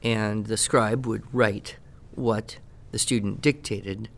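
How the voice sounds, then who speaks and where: A man in casual podcast talk, giving teaching advice in a measured, deliberate, dryly playful way. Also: on the low side, fairly even intonation, creaky. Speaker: podcast guest expert answering questions.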